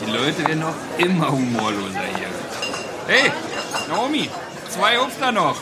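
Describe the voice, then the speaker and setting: Drunk creepy restaurant guest, leering drawl, pitch swings around, loud crowded room behind. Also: male voice, very animated, moderate pace. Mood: mocking, sullen. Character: sleazy drunk male restaurant guest, mocking harasser. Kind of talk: audio drama acting. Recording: busy restaurant crowd noise.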